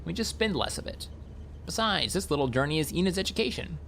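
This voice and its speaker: A man's voice: a little high, lively intonation, fast, creaky, fairly bright tone, slight background noise, voice pushed up. A man voicing a grumpy, sardonic, footloose guardian in an audiobook, with a dry, teasing delivery. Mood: irritated, dry.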